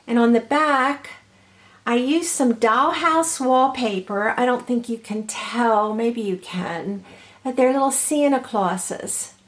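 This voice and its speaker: Slightly hoarse woman, higher pitch, very unhurried meandering craft-tutorial delivery. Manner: gentle, meandering, many ums and uhs. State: has a cold, a little hoarse. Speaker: crafter showing her ornaments. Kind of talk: slow, chatty craft tutorial.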